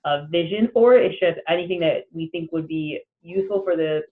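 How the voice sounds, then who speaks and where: A man's voice: a little high, brisk. A man casually explaining ideas to colleagues on a work conference call, heard over a phone line. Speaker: committee member.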